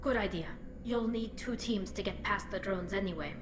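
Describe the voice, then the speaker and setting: A woman's voice: mid-range voice, moderate pace, lightly acted. An actress playing an earnest but firm young female alien team leader in a video game. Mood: earnest, cooperative. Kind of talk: cinematic video game dialogue. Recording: echoey, music behind.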